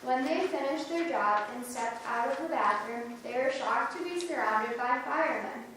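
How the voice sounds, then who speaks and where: A woman reading funny church stories aloud in reader's theater, telling them in a lively way. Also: fairly high pitch, moderate pace, a little hiss or hum. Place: large church sanctuary. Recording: echoey church from far off.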